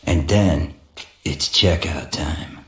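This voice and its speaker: An actor playing a swaggering, sadistic mob torturer with a taunting drawl in a crime game. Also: male voice, a bass voice, slow pace. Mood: menacing. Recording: roomy echo, faint noise.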